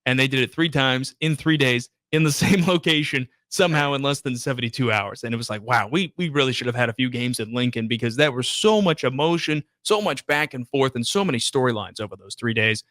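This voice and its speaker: A man's voice: medium pitch, fairly quick, few pauses, fairly bright tone, dry recording. A man hosting a sports radio show in a conversational style, on a broadcast microphone in a studio.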